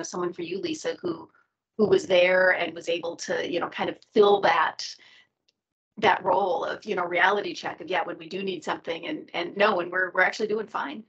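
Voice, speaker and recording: A woman's voice: on the low side, fairly bright tone, breathy edge, dull audio. A middle-aged woman casually moderating an online group call and putting questions to a guest. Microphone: video call.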